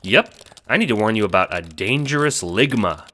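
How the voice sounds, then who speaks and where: A man's voice: fairly low pitch, expressive, normal speed, background noise, lightly acted. A man playing a cocky, immature teenage internet prankster with a taunting manner in an audio drama. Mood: gleeful, taunting. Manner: taunting, juvenile.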